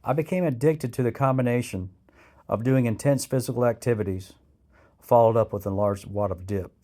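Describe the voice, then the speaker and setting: A man's voice: mid-range voice, a little fast, mellow. A man reading a scripted first-person memoir of military training, in the style of an audiobook. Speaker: memoir author narrating his own military training.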